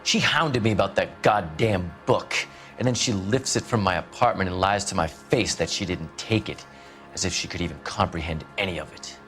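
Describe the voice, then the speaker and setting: An actor playing a haughty, evasive starving writer in a 1940s crime game, condescending and guarded. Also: male voice, deep voice, normal speed, a bit bright, faint music behind. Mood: defensive, scornful.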